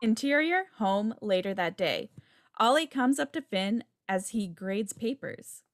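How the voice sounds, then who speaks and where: A woman's voice: medium pitch, lively intonation, a little slow, no room sound. Woman reading stage directions, dry sound. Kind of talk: scripted narration. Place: indoor room on a video call. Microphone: video call.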